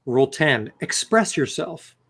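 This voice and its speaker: A man chatting and reading aloud on a comedy panel. His pitch moves around freely and animatedly, and his delivery is mock-serious and informal. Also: sing-song, a little slow, fairly bright tone, slightly rough. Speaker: podcast panelist reading from a book. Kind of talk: casual comedy panel chat with read-aloud passages.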